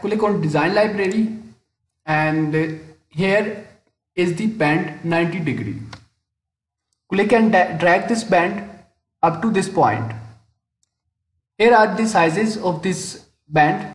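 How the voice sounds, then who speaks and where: A man with a high-pitched voice narrates a software tutorial step by step. He speaks very slowly and hesitantly, pausing in the middle of sentences. The recording is roomy, with audible echo around his voice. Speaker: tutorial instructor. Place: indoor room, screen-recording voice-over. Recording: roomy, echoing voice-over.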